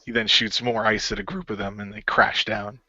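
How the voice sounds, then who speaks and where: A man's voice: fairly low pitch, little pitch movement, brisk, pushed and bright, dry recording. Man narrating action, dry slightly dull sound. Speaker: radio play performer. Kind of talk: improvised narration of an action radio play.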